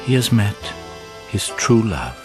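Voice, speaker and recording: A solemn British storyteller in a gothic radio romance. He speaks very slowly in a low voice, measured and wistful. Loud music runs under every phrase. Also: very animated. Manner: measured, solemn, literary delivery. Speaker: narrator. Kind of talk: dramatic storytelling narration in a radio play.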